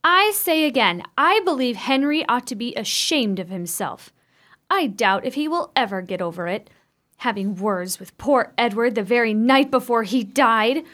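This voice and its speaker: A woman's voice: a little high, expressive, normal speed, a bit bright. A woman acting in a radio play as an outspoken, suspicious sister, speaking in an indignant, insistent manner. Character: Emma, outspoken, suspicious woman grieving her brother.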